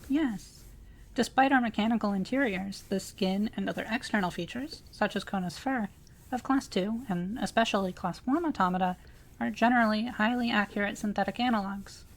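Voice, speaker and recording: A woman playing an ancient, courteous automaton librarian in a scripted audio roleplay, speaking formally with precise, measured diction. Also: medium pitch, lightly acted. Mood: calm, instructive. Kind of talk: scripted roleplay audio drama addressed to listener.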